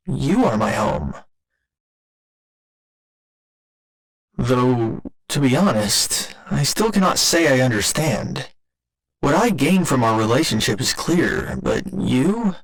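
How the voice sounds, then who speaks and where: Man acting as gentle, formal robot boyfriend. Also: medium pitch, low-quality recording. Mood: earnest, tender, then puzzled, vulnerable. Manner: formal, measured, precise wording. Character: gentle, earnest robot boyfriend learning about love. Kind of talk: ASMR roleplay, scripted intimate monologue.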